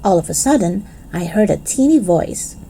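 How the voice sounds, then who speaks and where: A woman reading a children's storybook aloud in expressive scripted narration. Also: mid-range voice, normal speed, vocal fry, slight background noise, poor audio. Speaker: children's book reader.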